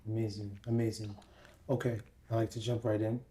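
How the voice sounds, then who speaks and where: A man's voice: fairly low pitch, little pitch movement, a little fast, warm tone. A man hosting an interview show, speaking in a presenter's style of narration and host talk.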